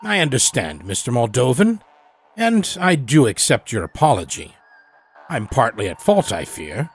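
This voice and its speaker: A man voicing an elderly, evasive doctor in a horror story, speaking in a formal, measured, grave way. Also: mid-range voice, big pitch swings, breathy edge, soft background music. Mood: courteous. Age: older. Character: elderly evasive doctor running a secret time-travel clinic. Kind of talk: dramatic audio horror storytelling.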